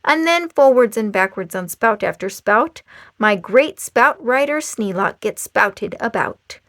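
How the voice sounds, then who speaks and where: A woman reading a rhyming children's book aloud in a theatrical, expressive and playful storytime manner. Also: a little high, unhurried, dry recording, studio quality. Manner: dramatic, expressive, playful emphasis.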